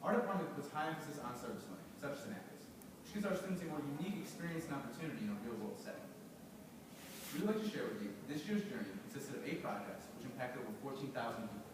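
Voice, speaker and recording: A young man from Rochester, New York, recites a rehearsed student presentation at a fast pace. He is captured from a distance in a reverberant auditorium with background noise. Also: mid-range voice. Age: young adult. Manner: steady, recited delivery. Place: large auditorium. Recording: echoey hall, distant mic, noisy.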